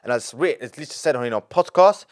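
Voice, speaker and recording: A nineteen-year-old man, a podcast guest telling his story in an animated way, full of repetition and street slang. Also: mid-range voice, racing. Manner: animated, repeats himself, heavy street slang. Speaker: young entrepreneur guest.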